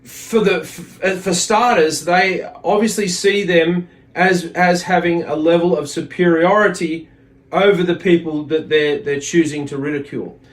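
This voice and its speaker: A man giving a religious lesson as a teacher, speaking in a deliberate, explanatory way and repeating phrases for emphasis. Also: a little high, fairly even intonation, rough recording. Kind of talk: religious lesson with readings from a text.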